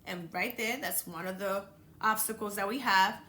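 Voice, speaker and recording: A woman's voice: mid-range voice, moderate pace, sharp tone. A woman from the Dominican Republic with a Dominican accent, hosting a motivational podcast and coaching listeners earnestly, often repeating words for emphasis. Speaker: podcast host.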